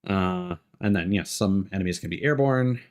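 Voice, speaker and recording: A man giving casual live gameplay commentary as a streamer, explaining things haltingly and thinking aloud. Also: low-pitched. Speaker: game streamer. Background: game music.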